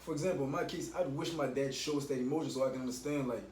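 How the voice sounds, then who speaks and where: A man's voice: mid-range voice, fairly even intonation, very fast, darkish tone. A man in his early twenties, from Miami, talking earnestly and reflectively in a casual podcast chat. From Miami, United States. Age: 20s. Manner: earnest, reflective, opens up at length. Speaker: podcast guest. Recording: echoey room, mic at a distance, faint hum.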